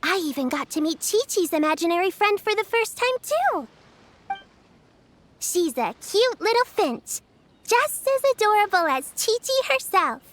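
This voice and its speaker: An earnest, caring little girl in a game, very high and lively, soft music behind. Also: unhurried, full character voice. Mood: cheerful. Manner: sweet, earnest, looks after her friends.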